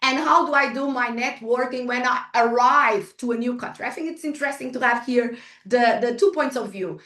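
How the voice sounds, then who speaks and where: High-voiced Portuguese woman in an echoey room. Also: a bit bright. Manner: chatty, frequent restarts and fillers, laughs at herself. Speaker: guest speaker. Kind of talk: motivational workshop talk. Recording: echoey room, distant from the mic.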